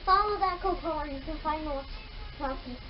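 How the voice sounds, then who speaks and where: A woman's voice: high voice, normal speed. A young girl, a little child, chatting casually with a sibling at home. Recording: muffled, very noisy home camera audio.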